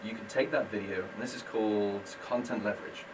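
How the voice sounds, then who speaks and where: A man's voice: fairly low pitch, fairly even intonation, fairly quick, recorded from a distance, noisy background. A British man, a business-owner guest on a radio talk show, explaining his work in a friendly, self-deprecating way. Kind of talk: casual radio interview.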